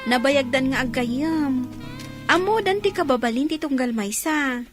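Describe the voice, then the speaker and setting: An actress playing a playful, giggly young villager in a radio comedy drama, with drawn-out phrasing. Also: female voice, high-pitched, animated, over music. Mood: amused, teasing.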